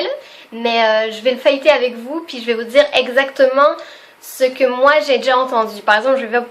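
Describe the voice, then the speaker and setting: Young québécoise woman vlogging, highish voice, laughs a lot, lots of euh's. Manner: chatty and spontaneous, laughs often, many fillers. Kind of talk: casual unscripted video chat.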